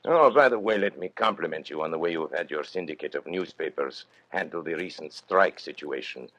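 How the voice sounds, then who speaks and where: An actor playing a suave, menacing condemned criminal agent in an old-time radio drama, theatrical, urbane and mock-polite in a deliberate delivery. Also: male voice, very deep voice, lively intonation, fairly bright tone, exaggerated voice. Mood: suave mockery.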